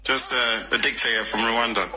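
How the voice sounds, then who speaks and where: A man's voice: mid-range voice, steady tone, moderate pace, bright, forceful tone. A Ugandan man answering street interview questions bluntly and offhandedly, laughing between answers.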